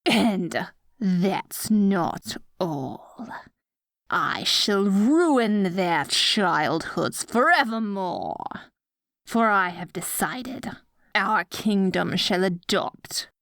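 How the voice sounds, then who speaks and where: Woman playing a slow-talking, dorky evil queen. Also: medium pitch, animated, a bit bright, dry recording, clean studio sound, full character voice. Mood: gleefully villainous. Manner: mock-villainous grandeur with awkward, stammering asides. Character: dorky evil sorceress queen, theatrical, petty, secretly soft-hearted. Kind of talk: ASMR roleplay, addressing the listener directly.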